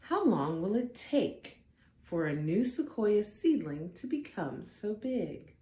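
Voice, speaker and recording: A woman reading a picture book aloud to children, with expressive, deliberate emphasis on the words. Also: medium pitch, roomy sound. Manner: dramatic, deliberate emphasis for young listeners. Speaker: storybook reader for children.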